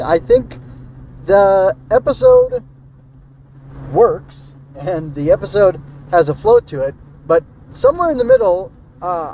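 A man's voice: high-pitched, darkish tone, slight background noise, poor audio. A man giving a casual solo podcast review, rambling and hesitant as he thinks aloud. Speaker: podcast host reviewing an old radio drama.

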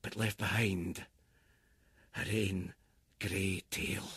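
A man reciting a narrative poem theatrically, swinging from loud outbursts to hushed whispers. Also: low-pitched, fairly even intonation, very slow, husky, no room sound, studio quality. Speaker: poetry reader. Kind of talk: dramatic poetry recitation.

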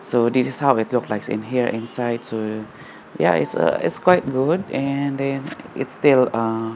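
A man in his thirties talking casually to a handheld phone in a large indoor gym, halting with many fillers, a little out of breath after jogging. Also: medium pitch, gravelly, a little mellow, slight background noise. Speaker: vlogger. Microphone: phone at arm's length.

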